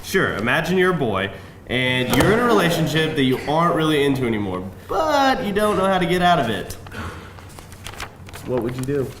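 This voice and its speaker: An actor playing a smooth, defensive man pitching a shady job in a live screenplay table read. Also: very animated, normal speed. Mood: defensive, persuasive. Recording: roomy live venue, faint noise.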